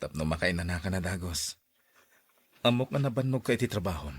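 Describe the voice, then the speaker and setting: A man's voice: deep voice, animated, fairly quick, breathy edge, no echo. A man playing a devoted, protective young boyfriend in an audio drama, earnest and coaxing. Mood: affectionate, protective.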